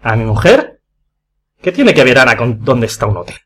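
A man's voice: medium pitch, big pitch swings, normal speed, fairly bright tone. A man from Spain acting an exasperated, bewildered betrayed lover in a radio farce, agitated and colloquial, with a Spanish accent. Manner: agitated, colloquial, repeating himself. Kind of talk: radio play comedy acting.

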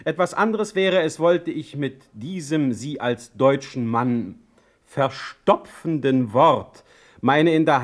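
An actor playing a witty, sardonic, ailing middle-aged poet in a radio play, speaking with eloquent, mocking irony. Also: male voice, on the high side, lightly acted. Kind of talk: radio play acting with letter narration.

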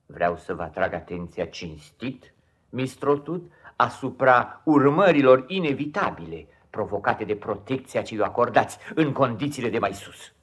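A man's voice: medium pitch, expressive, breathy edge. Pompous, cold stepfather. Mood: cold, accusing. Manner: pompous, formal, measured indignation. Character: cold, severe, self-righteous stepfather, tightly controlled. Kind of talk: 1950s radio theatre acting.

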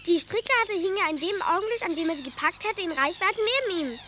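A woman's voice: very high voice, animated, fast, very noisy. A woman playing an imaginative, boastful boy dramatically telling an adventure in a radio play. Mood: thrilled.

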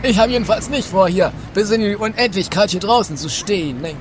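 A man's voice: high-pitched, brisk, background noise, natural voice. A man playing a lazy, grumbling partner in an amateur comic radio play.

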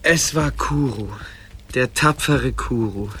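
A man's voice: mid-range voice, slow, sharp tone, slight background noise. A man narrating a scripted story in a dramatic storytelling style.

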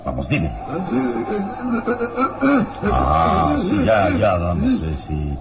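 An actor playing a calm, authoritative heroic adventurer in a radio serial, speaking deliberately. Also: male voice, rumbling low, very noisy, heavily acted. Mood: coaxing, probing. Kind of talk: old radio serial acting. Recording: old radio serial with music. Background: dramatic music.

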